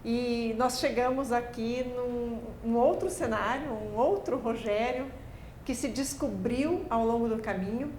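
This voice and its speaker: A woman hosting an interview who asks measured, reflective questions. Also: a little high, darkish tone. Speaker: interview host. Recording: echoey room, faint background noise.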